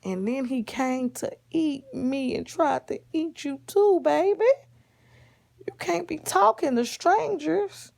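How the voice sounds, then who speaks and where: A woman's voice: high-pitched, slow pace, vocal fry, a little mellow, pitched above the performer's own voice, heavily acted. A woman playing a feisty old grandmother in a storybook tale, folksy, affectionate and chiding. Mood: scolding. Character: feisty old grandmother, grateful but scolding.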